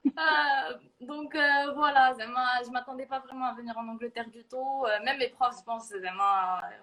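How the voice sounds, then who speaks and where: A woman's voice: high voice, fairly even intonation, a little slow, sharp tone, low-quality recording. A woman in her early twenties with a Moroccan accent, chatting casually and informally in an interview, with slangy filler words and a giggly manner. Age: early 20s. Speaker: international university student giving a testimonial.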